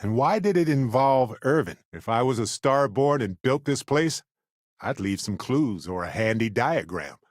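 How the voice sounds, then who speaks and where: A man voicing an upbeat, wisecracking, warm-hearted space explorer companion in a video game, chatty and wry with theatrical emphasis. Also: medium pitch, animated, normal speed, dry recording, studio quality, natural voice. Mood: excited, pressing.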